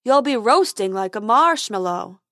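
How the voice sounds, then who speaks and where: A woman voicing a silly, stubborn male unicorn in a storybook, slow, dopey and gossipy. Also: fairly high pitch, lively intonation, sharp tone, no room sound, clean studio sound. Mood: alarmed gossip. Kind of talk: storybook character voice.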